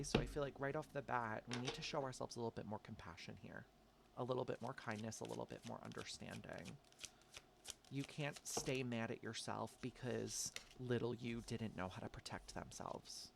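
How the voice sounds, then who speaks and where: A man's voice: medium pitch, steady tone, moderate pace, vocal fry, background noise. A man with an American accent giving a casual tarot reading, sounding congested from allergies and chatty, with frequent "um" and "like". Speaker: tarot reader.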